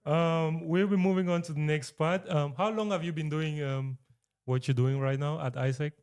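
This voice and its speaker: A man's voice: a little high, steady tone, normal speed, a little mellow, clear, resonant tone. A man from Africa hosting a casual talk show, upbeat and full of short repeated affirmations. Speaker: host. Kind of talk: casual chat.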